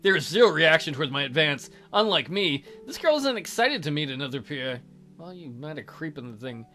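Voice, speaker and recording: A man's voice: a little high, sing-song, a little fast, pushed and bright, faint music behind. A man hosting a let's play, reading a visual novel aloud theatrically with goofy, crude joking commentary.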